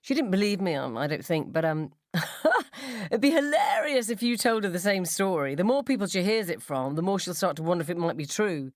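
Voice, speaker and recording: A woman's voice: fairly low pitch, animated, fairly quick, no echo, clean studio sound, natural voice. A woman playing a scornful, gossipy mother at the school gates in a radio story, with an emphatic, mocking drawl. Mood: gleeful scheming.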